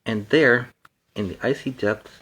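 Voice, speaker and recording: A man's voice: fairly low pitch, measured pace, a bit bright, slight background noise, rough recording. A man in a superhero persona reading a children's storybook aloud, with chatty, playful asides between the passages.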